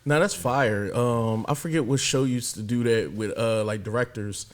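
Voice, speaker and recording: A man's voice: mid-range voice, normal speed. A man co-hosting a reaction video, chatting in a laid-back, slangy way. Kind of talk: casual reaction commentary.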